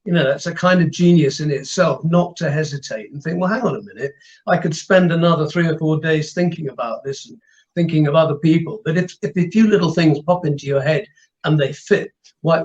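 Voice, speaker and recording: Male actor guest, fairly high pitch, dull recording, casual, thoughtful, hesitant phrasing. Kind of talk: casual interview chat.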